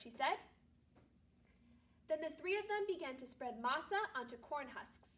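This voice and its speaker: A woman teacher reading a storybook aloud to young children, speaking to them directly in an animated way. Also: high-pitched, distant mic. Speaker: second grade teacher.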